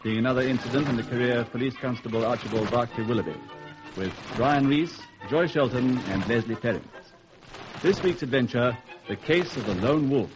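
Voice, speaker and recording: Radio announcer over music. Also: male voice, medium pitch, fairly quick, rough recording. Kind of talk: vintage radio programme announcement.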